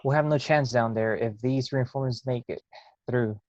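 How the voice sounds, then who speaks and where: A man's voice: mid-range voice, moderate pace, darkish tone, dry recording, muffled recording, lightly acted. A man playing a worried, urgent starfighter pilot commander in a sci-fi audio drama. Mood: urgent worry.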